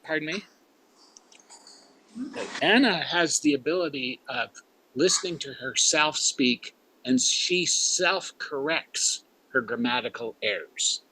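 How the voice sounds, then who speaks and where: A man's voice: a little high, expressive, bright, forceful tone, slight background noise, rough recording. A man from Canada giving a grammarian's report at a club meeting, thinking aloud with many fillers. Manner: slow and musing, with frequent fillers.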